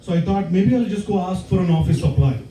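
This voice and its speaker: A man from southern India with a South Indian accent, telling stories in a stand-up set with hesitant pauses and many fillers. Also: sits high, expressive, warm tone, slight background noise. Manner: hesitant, filler-heavy storytelling with many ums. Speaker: stand-up comedian. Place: comedy club stage. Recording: roomy club sound from far off.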